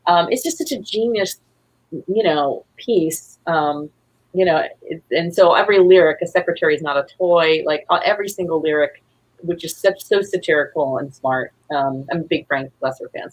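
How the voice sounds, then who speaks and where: A woman with a husky voice chatting casually as an interview guest, effusive and rambling. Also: deep voice, fairly quick, rough recording. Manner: effusive, rambling, acts out quotes. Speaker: guest, playwright.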